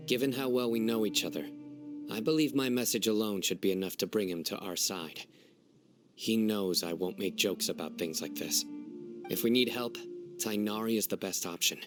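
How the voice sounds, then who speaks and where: A man playing a composed, serious young officer in a video game, measured, dry and matter-of-fact. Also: on the low side, faint music behind, lightly acted. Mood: confident, firm. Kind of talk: video game character acting.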